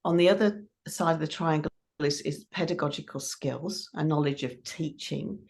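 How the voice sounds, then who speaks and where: A woman's voice: deep voice, normal speed, creaky, a little mellow. A middle-aged woman answering interview questions in a considered, explanatory way, repeating points for emphasis. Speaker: interview guest, teacher trainer.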